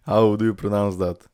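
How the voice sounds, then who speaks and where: A man giving casual reaction commentary, rambling as he thinks out loud. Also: fairly low pitch, slight background noise. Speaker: reaction video host.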